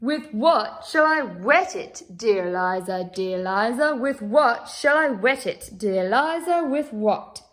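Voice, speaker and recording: A woman voicing a hapless, helpless man from a children's storybook, with plaintive, drawn-out sing-song questions. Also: fairly high pitch, animated, a bit bright. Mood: helpless complaint.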